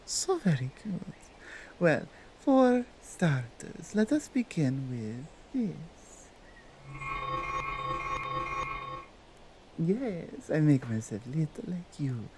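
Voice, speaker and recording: A woman voicing a dominant yet tender female Krampus in an ASMR roleplay, with a Nordic accent and halting, deliberate broken English. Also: deep voice, very animated, mellow, a little hiss or hum, exaggerated voice, poor audio. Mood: teasing, doting. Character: dominant, tender, seductive female Krampus, otherworldly gift-bringer.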